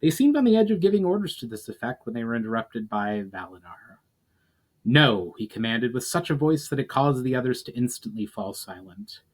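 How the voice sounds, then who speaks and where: Male reader for fantasy fiction, measured and emphatic dramatic storytelling delivery. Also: mid-range voice, sing-song, darkish tone. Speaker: author reading own chapter aloud. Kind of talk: dramatic audiobook-style fantasy narration.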